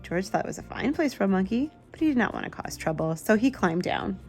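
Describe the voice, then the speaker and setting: A woman's voice: medium pitch, big pitch swings, fast talker, a little mellow, faint music behind. A woman reading a children's picture book aloud expressively, with simple asides to a young listener. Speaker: children's book reader, channel host.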